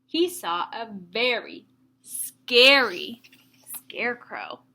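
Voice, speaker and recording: A woman teacher reading a story aloud to young children, with expressive emphasis and explanatory asides. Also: fairly high pitch, very slow.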